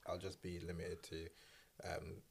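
A man's voice: low voice, monotone, moderate pace, creaky, over a noisy crowd. A man hosting a casual group conversation in informal, slangy speech. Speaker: podcast host.

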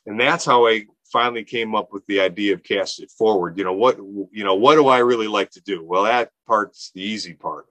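A man's voice: on the low side, a little fast, hardly any pauses, sharp tone, dry recording, poor audio. A man in his fifties, an organization founder, talking earnestly in a casual interview, often restarting his sentences. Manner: earnest, rambling, many restarts and fillers. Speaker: nonprofit founder, podcast guest.

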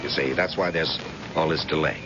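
An actor playing a blunt, protective family friend in an old-time radio crime thriller, sometimes hesitant, sometimes urgent. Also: male voice, extremely low pitch, fairly even intonation, fairly quick. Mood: hesitant, gentle. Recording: dull, very noisy old radio recording.

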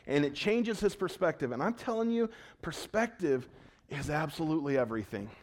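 A man preaching a sermon in a conversational way, asking his congregation questions and swinging between loud, urgent lines and hushed ones. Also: high voice. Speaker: pastor.

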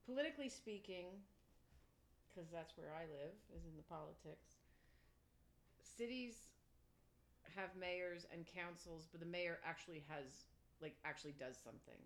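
A woman's voice: on the low side, measured pace, long pauses. An older woman from Pennsylvania, a township supervisor, chatting casually in an interview and explaining local government plainly.

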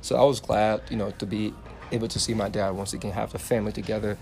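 A man's voice: lowish voice, level tone, quick pace, vocal fry, a little mellow, soft background music. A man from Liberia with a Liberian accent, a guest in casual chat, upbeat and friendly, often saying "you know". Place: bookstore children's section.

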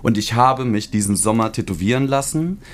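A man's voice: medium pitch, a little fast, slight background noise. A man in his mid-thirties, a teacher co-hosting a podcast, chatting casually with slang and jokes. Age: 30s. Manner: slangy, excitable, joking. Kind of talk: casual chat.

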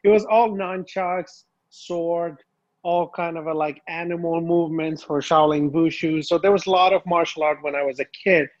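A man who was born in Iran and grew up in Finland talks through an interview in a high voice. He often restarts and repeats words. The audio sounds a little dull and lo-fi. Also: fairly even intonation, moderate pace. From Iran, raised in Finland. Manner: repeats phrases, restarts, laughs easily. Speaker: guest, filmmaker and martial artist. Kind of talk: casual interview chat.

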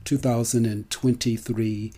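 An older man speaks at a slow pace in a mellow, relaxed voice. He delivers a personal monologue as a working performer, leaning on key words and repeating them deliberately for emphasis. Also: mid-range voice, husky. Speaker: actor and performer.

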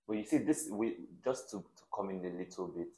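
A man's voice: on the low side, brisk, mellow. A man co-hosting a podcast in casual conversation, often chiming in with agreement. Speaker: podcast co-host. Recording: echoey room.

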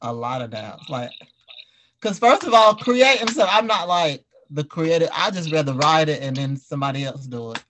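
Guy podcast host, kinda higher voice, slangy and informal, just chatting with the group. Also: animated, normal speed, fairly bright tone, a little hiss or hum, poor audio. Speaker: podcast host and audio drama creator. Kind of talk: casual group interview.

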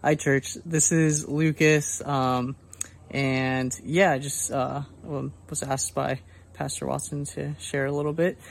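Flat-toned young man from Colombia. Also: fairly high pitch, takes it slowly, creaky. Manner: soft-spoken, hesitant, many fillers. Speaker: church member giving video testimony. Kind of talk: casual recorded testimony.

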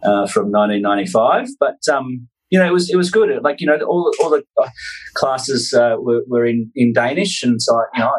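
An Australian man in his forties telling casual personal anecdotes as an interview guest, in a halting, self-deprecating way. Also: medium pitch, lively intonation, low-quality recording. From North Queensland, Australia. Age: 40s.

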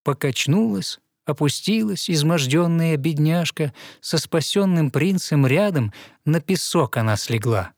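A man narrating a fairy tale in verse for an audio play, with measured, rhythmic recitation. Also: a little high, expressive, dry recording, clean studio sound.

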